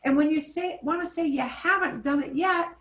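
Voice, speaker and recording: A woman's voice: high-pitched, fairly bright tone. Woman teaching Spanish in an echoey room. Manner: switches between Spanish and English, repeats phrases slowly. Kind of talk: online class instruction. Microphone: video call.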